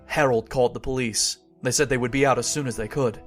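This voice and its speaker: A man's voice: medium pitch, fairly even intonation, fast, faint music behind, close to an everyday voice. An actor playing a wary, skeptical man who investigates deaths and narrates his own story in an old-time radio horror drama. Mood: grim narrating.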